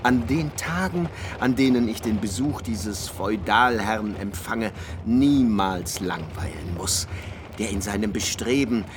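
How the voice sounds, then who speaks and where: An actor playing a pompous, chatty academic who savours ornate, learned phrases in a German literary radio drama. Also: male voice, lowish voice, animated, unhurried, noisy background. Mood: pompous, admiring. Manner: ornate, hesitant, savouring his own erudite phrases.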